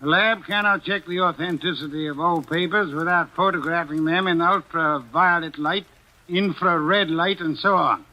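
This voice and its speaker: A man's voice: fairly high pitch, moderate pace, sharp tone. A man playing a stout, imperious male detective in a 1940s radio drama, precise and commanding, on a dull old recording.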